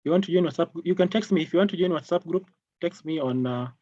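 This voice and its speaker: Male product trainer, Kenyan accent, halting and repetitive delivery, dry lo-fi call sound. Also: fairly high pitch, mellow, dry recording. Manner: halting, repeats phrases. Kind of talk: live online technical training. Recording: dull lo-fi online call audio.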